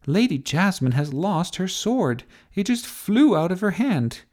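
Man voicing an excitable, high-pitched bossy young girl. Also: measured pace, exaggerated voice. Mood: excited commentary. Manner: theatrical, announcer-like, emphatic. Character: bossy, excitable young girl giving breathless play-by-play commentary. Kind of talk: children's storybook character voice.

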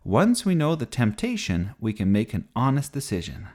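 A man's voice: medium pitch, wildly swooping pitch, moderate pace, darkish tone. A man voicing a bossy, dramatic little girl at play in a children's storybook, grandly theatrical in manner. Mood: bossy, instructing. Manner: grandly theatrical, mispronouncing big words.

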